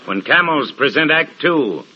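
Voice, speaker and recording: A man's voice: on the high side, expressive, measured pace, husky. A man announcing a radio mystery show in scripted 1940s style. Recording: muffled old radio, music behind.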